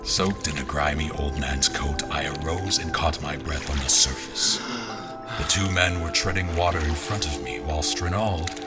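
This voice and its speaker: An actor playing a brooding adventurer who recounts a perilous journey in solemn, literary audio drama narration. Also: male voice, extremely low pitch. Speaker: narrator and character. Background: music.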